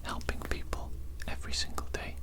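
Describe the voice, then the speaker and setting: Whispering woman as a polite evil doctor. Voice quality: breathy whisper. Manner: slow, repetitive, softly polite. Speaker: ASMR roleplay performer. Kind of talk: whispered ASMR medical exam roleplay.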